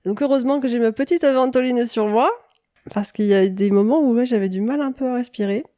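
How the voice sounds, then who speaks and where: A woman in her forties chatting casually on a podcast, relaxed and joking. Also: fairly high pitch, a little fast, dry recording, studio quality. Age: 40s. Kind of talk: casual chat.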